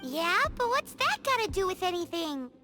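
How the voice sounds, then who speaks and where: A woman's voice: very high voice, big pitch swings, normal speed. A woman voicing a small, chatty floating companion in a video game who questions everything. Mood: doubtful. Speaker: game character. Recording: game audio with music behind.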